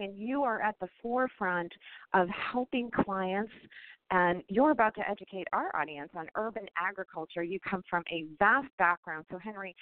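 An upbeat woman hosts a radio show and speaks with plain enthusiasm. Her voice sits at a middle pitch for a woman. The sound is narrow and tinny, like a phone line. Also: no echo. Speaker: podcast host.

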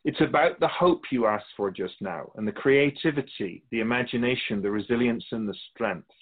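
A serene otherworldly keeper of souls, voiced by a man, speaks in a measured, philosophical, gently lecturing manner for a radio drama. His voice sits in a middle pitch. The sound is narrow and tinny, like a phone line. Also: natural voice. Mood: calm, wise. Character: otherworldly male soul-realm keeper, calm and wise.

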